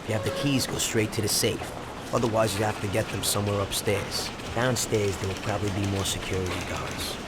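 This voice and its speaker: A male actor plays a scheming, streetwise gangster in a crime game. His voice is on the low side and his delivery blunt and businesslike. Street and vehicle noise is plainly audible around him. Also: steady tone, brisk, close to an everyday voice. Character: scheming, brisk gangster planning a robbery. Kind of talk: video game cutscene acting. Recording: noisy street ambience with vehicles.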